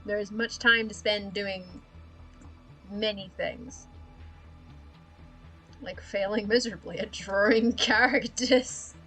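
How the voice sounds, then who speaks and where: Young aussie woman with a bit of an american drift, chatty self-deprecating streamer, quiet music under her. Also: medium pitch, steady tone, takes it slowly, pauses often, rough recording. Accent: Australian with an American drift. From Australia. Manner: rambling, self-deprecating, giggly. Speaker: live-streaming artist. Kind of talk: casual chat while drawing.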